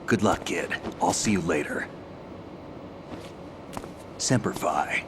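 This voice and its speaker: A man's voice: sits low, takes it slowly, background noise. A man playing a battle-hardened, confident soldier in a video game cutscene, speaking in a terse, brusque, commanding way.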